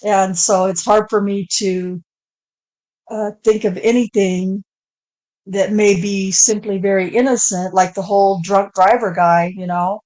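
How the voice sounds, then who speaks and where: A woman speaks as a guest on a casual online panel, hesitant and searching for her words. She restarts her sentences often. Her voice sits at an ordinary middle pitch. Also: steady tone, measured pace, a little mellow.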